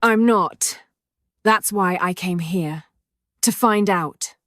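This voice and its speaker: A woman's voice: lowish voice, slow pace, no echo, studio quality. A woman voicing a determined English adventurer searching for her late father's artifact, speaking in a guarded, matter-of-fact way with an English accent. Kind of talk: amateur animated voice acting.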